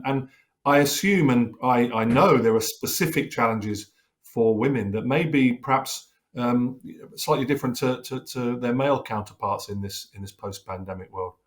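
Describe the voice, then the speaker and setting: A middle-aged man hosting a business interview, friendly and conversational with some restarts. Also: medium pitch. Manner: friendly, scripted intro, then conversational with restarts. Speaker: host.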